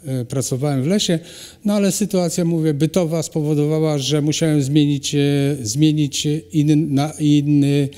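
A middle-aged man, a ceramic artist, answering interview questions in a halting way with many pauses and restarts. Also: fairly high pitch, fairly even intonation, warm tone. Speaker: ceramic artist and cultural animator, guest. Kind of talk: hesitant conversational interview answers. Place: hall at a cultural centre. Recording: long reverb of a hall.

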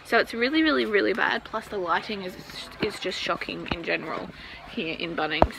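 A woman's voice: mid-range voice, expressive, brisk, creaky, noisy background. A young Australian woman vlogging casually on her phone, chatting to viewers in a store and a car. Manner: chatty, addresses viewers as guys. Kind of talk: casual vlog to camera. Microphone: phone at arm's length.

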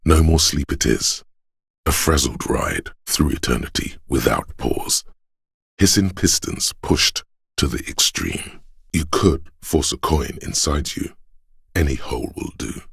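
An actor playing a gruff, menacing inner voice of a man's body in a video game, solemn and coaxing, with a husky rasp and deliberate delivery. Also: fairly bright tone, no room sound, clean studio sound, full character voice. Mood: grave, ominous. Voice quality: very deep, gravelly, husky rasp. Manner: slow, deliberate, solemn, brooding. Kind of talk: scripted game voice lines, dramatic narration.

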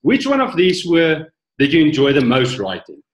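A man's voice: on the high side, wildly swooping pitch, echoey room. A man, hosting an interview over a video call, praising the guest and asking questions with many fillers. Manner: warm praise, halting with fillers. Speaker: host.

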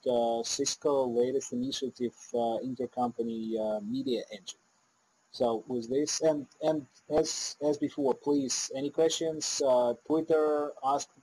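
A middle-aged man hosting a conference over a video call, introducing the speaker haltingly with many uh fillers. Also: medium pitch, mellow, breathy edge, rough recording. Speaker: event host. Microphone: video call webcam.

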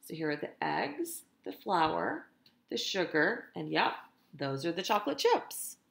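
A middle-aged woman, a teacher, reading a picture book aloud to young children in an expressive, deliberate way, speaking to them directly. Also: lowish voice, vocal fry, roomy sound. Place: indoors in a room at home.

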